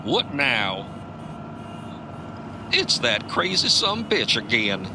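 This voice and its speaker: Man acting a wildly animated folksy road hero. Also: medium pitch, takes it slowly. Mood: easygoing. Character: folksy, cocky male road-traveling mystery hero. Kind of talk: comedy audio drama. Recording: clear car and road noise.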